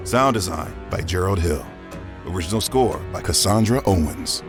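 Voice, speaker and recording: Low-voiced man over prominent music. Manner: folksy, welcoming. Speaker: show creator and host. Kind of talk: scripted credits narration.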